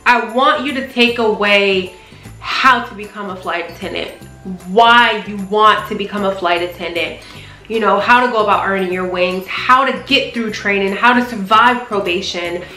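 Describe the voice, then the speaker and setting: A woman's voice: mid-range voice, moderate pace, sharp tone, reverberant, faint music behind. A young adult American woman vlogger chatting casually to camera in an emphatic, conversational way, often checking in with the listener. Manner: emphatic, conversational, punctuated with "right?" check-ins. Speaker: vlogger and flight attendant. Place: small living room, indoors on a sofa.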